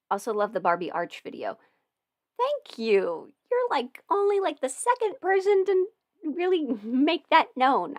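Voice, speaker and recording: A woman with a fairly high voice chats casually as a livestream host. She rambles in an informal way, thinking out loud as she talks. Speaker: livestream host and voice performer answering viewer questions. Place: indoors at a desk at home.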